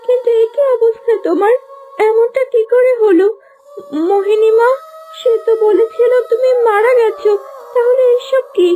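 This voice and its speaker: A woman's voice: very high voice, fairly even intonation, moderate pace, warm tone. A woman voicing a loyal young daughter in a plaintive, theatrical manner for a fairy-tale cartoon. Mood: tearful, shocked. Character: young daughter, tearful and loyal; also scheming impostor princess.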